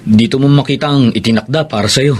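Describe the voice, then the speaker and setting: Actor as encouraging cousin in radio drama; husky, medium-pitched, amiable. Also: male voice, fast talker, close to an everyday voice. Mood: friendly, teasing. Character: friendly older male cousin, encouraging matchmaker. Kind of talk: Filipino radio drama acting.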